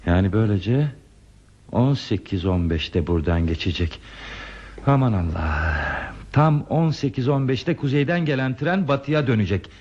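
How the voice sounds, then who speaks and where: An anxious, earnest man running a lonely railway station in a radio drama. His voice is lowish and husky. The recording is dull and muffled, like an old broadcast. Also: expressive, a little slow, a little mellow. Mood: flustered, alarmed. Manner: earnest, repeats words when flustered. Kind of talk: Turkish radio theatre acting.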